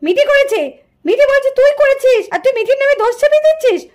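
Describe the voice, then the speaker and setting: A woman's voice: extremely high pitch, lively intonation, fast, darkish tone. A woman voicing a strict, scolding mother with a stern, accusing manner in a puppet doll cartoon. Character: scolding, strict mother of two young girls.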